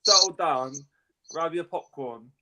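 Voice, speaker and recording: A man co-hosting a casual chat, telling an animated, slangy story. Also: a little high, a little slow, a crowd in the background, rough recording. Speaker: co-host.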